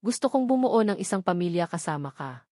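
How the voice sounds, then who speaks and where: A woman's voice: fairly low pitch, lively intonation, a little fast, mellow, no echo, studio quality, close to an everyday voice. A woman with a Filipino accent voicing a devoted, earnest man in love for a romance story. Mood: tender, earnest.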